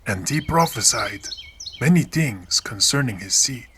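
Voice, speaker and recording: A man narrating scripture from a script in a solemn, measured, deliberate manner. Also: medium pitch, animated, pushed and bright, slight background noise.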